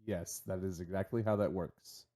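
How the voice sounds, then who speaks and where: A man's voice: low-pitched, fairly even intonation, normal speed, a crowd in the background. A man acting as game master, narrating and chatting casually with players at a tabletop roleplaying session.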